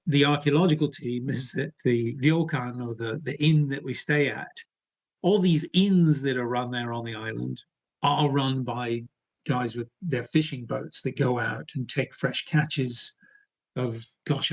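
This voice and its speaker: A middle-aged man, an archaeologist, explaining things in an interview in a relaxed way, with fillers and restarts. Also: medium pitch, low-quality recording. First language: English. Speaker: archaeologist guest.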